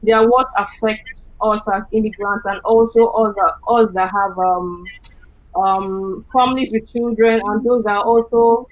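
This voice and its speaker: A woman answering as an election candidate on a panel, speaking haltingly with many fillers. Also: medium pitch, fairly even intonation, no echo, dull audio.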